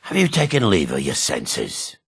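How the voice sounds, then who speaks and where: An actor playing a gruff, haughty dark elf rogue in a video game, with a gravelly, raspy voice and a curt, disdainful, suspicious way of talking. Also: male voice, very deep voice, wildly swooping pitch, measured pace, no echo, clean studio sound, heavily acted. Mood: grave, guarded. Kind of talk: video game character dialogue.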